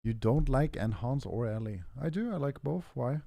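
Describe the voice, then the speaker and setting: A young man streaming a game, chatting casually with his viewers, hoarse and clearing his throat as he rambles. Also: mid-range voice, animated, normal speed, dark tone, no echo. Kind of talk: casual stream chat, answering chat.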